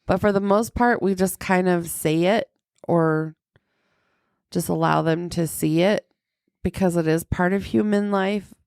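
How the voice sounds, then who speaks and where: A middle-aged woman chats casually as a podcast host at an unhurried pace. Her voice is congested and lowish, full of creaky fry, and the recording is close and dry. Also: darkish tone, no room sound. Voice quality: deepened by a sinus infection, with vocal fry. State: congested from a sinus infection. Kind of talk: casual chat.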